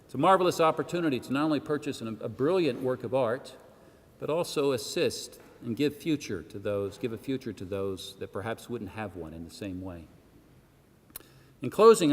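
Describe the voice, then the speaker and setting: A man delivering a formal public speech with measured, emphatic oratorical phrasing and the occasional rhetorical aside. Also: medium pitch. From Senegal. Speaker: arts organisation president giving a launch speech. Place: church hall, addressing a gathering. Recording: long echoing reverb of a large hall.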